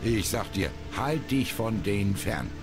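Old retired sailor, game role. Very low, animated, folksy grumbler, over music. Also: male voice, measured pace. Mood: indignant. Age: older. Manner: folksy, grumbling seaman's talk. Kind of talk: video game character dialogue. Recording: music under the voice.

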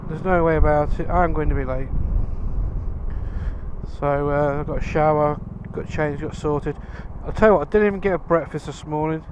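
A man talks casually through a helmet mic while riding, grumbling, sighing and swearing at traffic. His voice sits a little high. Engine and road noise stay loud throughout. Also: fairly even intonation, normal speed, dull audio. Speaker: motorcycle vlogger. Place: outdoors on a motorbike in road traffic.

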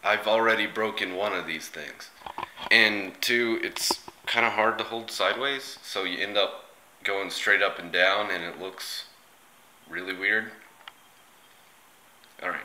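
A young man answering viewer comments in a relaxed, rambling vlog chat, with frequent fillers and restarts, filmed on a webcam at his desk. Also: lowish voice, unhurried, long pauses, roomy sound, slight background noise, low-quality recording. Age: young adult. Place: bedroom with shelves, indoors.

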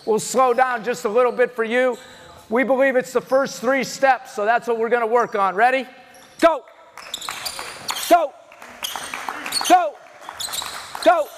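A man coaching basketball in a very high, raised voice, giving short, commanding calls at an unhurried pace. He is running a drill in a noisy gym with balls bouncing behind him. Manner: loud, terse, commanding shouts. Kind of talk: barking drill commands at a team practice. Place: large indoor gymnasium, practice before onlookers. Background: bouncing basketballs and gym noise.

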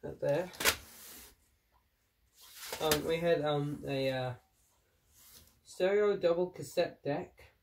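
A man's voice: on the high side, slow, creaky, long pauses, darkish tone, recorded from a distance. A young man talks casually through a stuffy nose, rambling and filling gaps with ums. He sits back from the camera mic, and a faint hiss runs under his voice in a cluttered indoor room. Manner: chatty, rambling, frequent fillers. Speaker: vlogger. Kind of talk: casual unboxing vlog.

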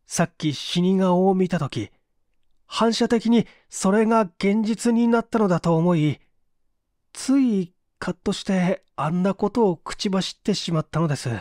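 A man voicing an anxious, flustered gentleman in a mystery story, earnest and defensive. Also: sits high, measured pace, no room sound, studio quality. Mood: anxious, confessing.

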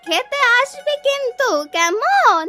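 A woman's voice: very high-pitched, very animated, slow, music in the background, heavily acted. A woman voicing a kind, stubborn old village grandmother in a children's cartoon, with an affectionate, emotive manner. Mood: tender, caring.

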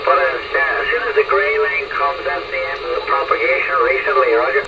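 A man's voice: mid-range voice, wildly swooping pitch, brisk. A man chatting casually as an amateur radio operator, heard through a radio transmission. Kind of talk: casual radio contact chat. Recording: dull noisy shortwave radio reception.

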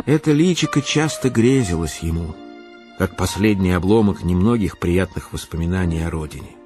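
A low male voice tells a literary tale for a radio play. The storytelling is measured and expressive, with music behind it. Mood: reflective. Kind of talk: dramatic literary narration.

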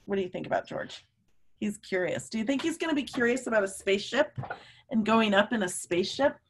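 A middle-aged woman, a teacher, reads a children's story aloud expressively and emphatically, pausing to question and explain. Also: mid-range voice, lively intonation, slight background noise. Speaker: teacher reading aloud to students. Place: small room at home.

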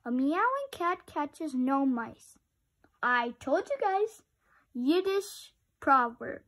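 High-voiced, slow-paced female storybook reader. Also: big pitch swings, a bit bright. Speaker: read-aloud host. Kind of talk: reading a picture book aloud with casual asides to children.

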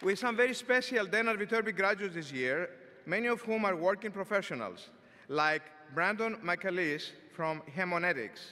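An older man, a dean, giving a formal commencement address from a podium, speaking in a measured, deliberate way with the odd filler word. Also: high-pitched, lively intonation, bright, forceful tone, a little hiss or hum. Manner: measured, deliberate delivery with occasional filler words. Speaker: engineering school dean. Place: large arena stage at a podium. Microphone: podium microphone. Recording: long reverb of a large arena.

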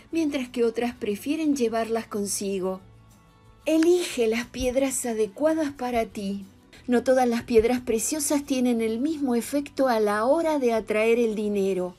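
Mellow higher-voiced woman narrating calmly. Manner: calm, even advice-giving read. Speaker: channel narrator. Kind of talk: scripted voice-over narration. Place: voice-over over stock footage. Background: soft background music.

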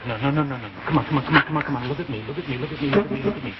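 An actor in a 1950s television drama playing a scheming, impatient husband who insistently repeats himself to a frightened partner. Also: male voice, medium pitch, racing, lightly acted. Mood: pressing, hushing. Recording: noisy muffled 1950s TV broadcast.

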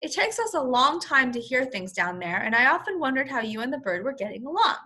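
A woman's voice: sits high, very animated, a little fast, pushed and bright, slightly rough, full character voice. A woman voicing a hearty, kindly bearded admiral in a storybook, with emphatic, stately delivery. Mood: genial, earnest.

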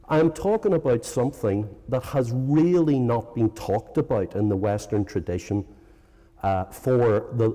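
A man's voice: fairly low pitch, dark tone. Northern Irish man lecturing in a hall. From Northern Ireland. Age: middle-aged. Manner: hesitant, many ums and uhs, self-correcting. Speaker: philosophy professor giving a lecture. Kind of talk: informal conference lecture. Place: large conference hall. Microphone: lectern microphone. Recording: long hall reverb.

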